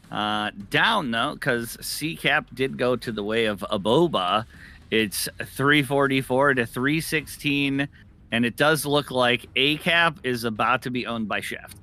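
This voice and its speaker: A man's voice: mid-range voice, a little slow, bright, forceful tone. A man giving conversational live game commentary as an esports co-caster.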